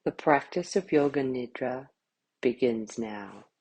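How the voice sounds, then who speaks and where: Woman doing guided yoga nidra, really slow and low voice, calm and soothing, short phrases with gaps. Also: heavy background noise. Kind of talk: guided relaxation meditation.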